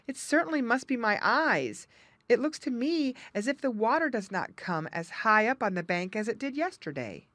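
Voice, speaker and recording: Woman as an earnest, higher-pitched muskrat fellow. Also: very animated, moderate pace, fairly bright tone, no echo. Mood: puzzled, insistent. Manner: emphatic, earnest. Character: earnest, puzzled, insistent male muskrat. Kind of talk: children's animal storybook character.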